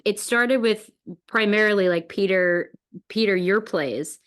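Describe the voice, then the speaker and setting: Woman podcast host, medium pitch, chatting casually, supportive and agreeable. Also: a little slow. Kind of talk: casual conversational interview.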